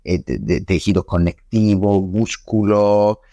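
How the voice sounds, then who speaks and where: A man from Italy speaking with an Italian accent, a guest in a casual podcast interview, halting with many fillers and restarts. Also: lowish voice, warm tone, no echo, studio quality. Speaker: guest scientist.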